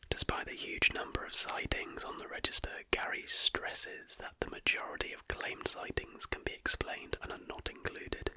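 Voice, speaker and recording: An ASMR host reads a scripted narration entirely in a whisper. The sound is bright and airy, with no full voice at all. The delivery stays soft throughout. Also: normal speed, few pauses.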